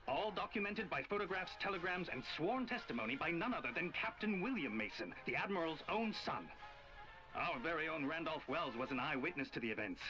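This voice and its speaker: A man's voice: a little high, bright, forceful tone. Old-time American radio newsman. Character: urgent old-time American radio news announcer, male. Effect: old radio filter. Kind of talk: 1920s radio newscast acting in a game. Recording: dull old radio sound.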